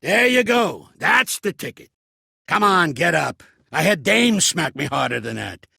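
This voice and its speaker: An actor voicing a shrewd, gruff old American mobster for a video game, streetwise and blunt, with a gravelly, husky voice. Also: male voice, fairly high pitch, very animated, a little slow, sharp tone, no room sound, studio quality, full character voice. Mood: barking, coaching. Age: older. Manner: streetwise, commanding, blunt, slangy. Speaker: mobster mentor and boxing coach. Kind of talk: video game character acting.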